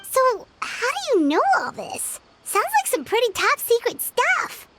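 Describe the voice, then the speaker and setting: A woman voicing a small, excitable female flying companion in a video game, with a high, squeaky, chirpy voice. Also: a little slow, heavy background noise, exaggerated voice. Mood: curious. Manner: chirpy, exclamatory. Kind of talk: game voice acting.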